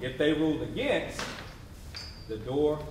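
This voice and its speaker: A man's voice: on the high side, animated, very noisy. Male stage narrator, very echoey room. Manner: deliberate, emphatic storytelling. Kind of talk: scripted dramatic narration in readers theatre. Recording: very echoey room, distant mic, responsive audience.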